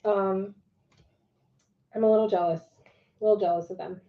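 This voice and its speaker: A woman's voice: mid-range voice, fairly even intonation, normal speed. A woman chatting casually as a livestream co-host, her voice wearing out from allergies. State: losing voice from allergies. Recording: dull lo-fi livestream audio.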